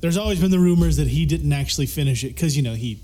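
A man's voice: on the high side, lively intonation, fast, mellow. A man giving wry, opinionated takes in casual film chat on a podcast. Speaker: podcast crew member.

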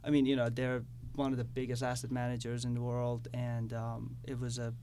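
Even-toned young man from Iran. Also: mid-range voice, fairly quick. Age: young adult. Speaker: student guest. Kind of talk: casual interview answers. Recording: clearly audible background noise.

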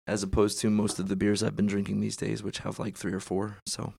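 A man's voice: on the low side, monotone, brisk, creaky, a little mellow, husky, dry recording, clean studio sound. A man hosting a casual chat, congested and losing his voice, talking in loose rambling bursts. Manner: rambling, filler-heavy. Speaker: podcast host.

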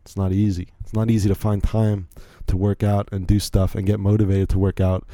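A man's voice: on the low side, little pitch movement, a little fast, dark tone, no echo. A young man from Virginia hosting a solo podcast, rambling casually with frequent restarts and self-deprecating asides. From Virginia, USA. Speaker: podcast host.

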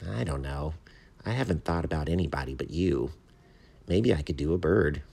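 A small poet bat from a children's storybook, voiced by a man, gentle and earnest. The delivery is thoughtful and modest, with a husky edge and a very lively rise and fall. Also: rumbling low, normal speed, heavily acted. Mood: doubtful, thoughtful. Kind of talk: children's storybook read aloud.

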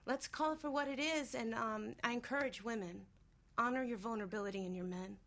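A woman's voice: fairly high pitch, fairly quick, fairly bright tone, far from the mic. A woman on a radio talk panel, speaking candidly and emphatically, often posing rhetorical questions. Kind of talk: candid conversational discussion.

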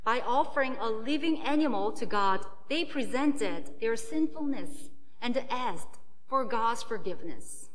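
A woman pastor whose first language is Korean delivers a prepared sermon at a slow, careful pace. Her voice sits a little high, and it rings with a long reverb as if in a large hall, with a faint hum behind her. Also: fairly bright tone.

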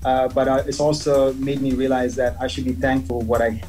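A man who grew up in Dubai, a DJ talking casually as a guest, pausing often with fillers and restarts. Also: medium pitch, flat delivery, a little mellow, faint music behind. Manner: hesitant, many fillers and restarts. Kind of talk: casual interview chat.

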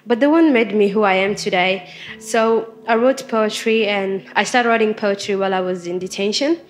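A young woman from Somalia with a Somali accent, telling personal stories casually in informal, halting phrases. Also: medium pitch, soft background music. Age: young adult. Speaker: interview guest, student and storyteller. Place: studio.

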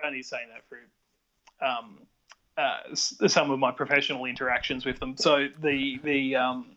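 A man's voice: mid-range voice, flat delivery, measured pace, pushed and bright. An older man with an Australian accent, chatting casually on a podcast, rambling with many restarts. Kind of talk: casual chat. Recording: dull lo-fi sound.

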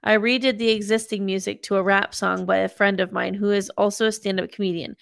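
A woman's voice: mid-range voice, flat delivery, brisk, gravelly, dry recording, clean studio sound. A woman in her thirties co-hosting a podcast, chatting casually and reading listener emails aloud. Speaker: podcast co-host.

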